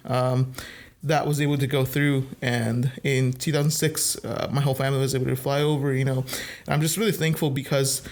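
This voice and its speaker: A man from El Salvador who grew up partly in the US, speaking as a guest in a casual podcast conversation. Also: mid-range voice. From El Salvador, moved to the US at age eight. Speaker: podcast guest, youth minister.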